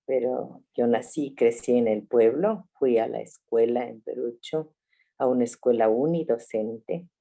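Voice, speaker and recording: A woman in her sixties, a family doctor from Ecuador, telling her story reflectively with soft laughs over a video call. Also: extremely low pitch, measured pace, gravelly. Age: 60s.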